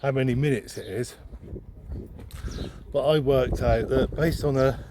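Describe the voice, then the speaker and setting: Casting: male vlogger, mid-pitched, hesitant and unscripted, musing aloud outdoors with clear traffic noise behind. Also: steady tone, a little slow. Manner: rambling, hesitant, thinking aloud. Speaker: second-hand bookseller and vlogger. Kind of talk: casual unscripted vlog musing. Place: outdoors, walking along a town street. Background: street ambience and vehicles.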